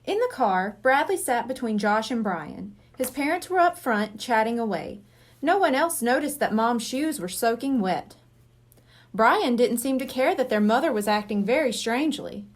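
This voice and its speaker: A woman narrates a children's story in a high voice. Her reading is expressive and very animated, with wide movement in pitch. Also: normal speed. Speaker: storybook reader.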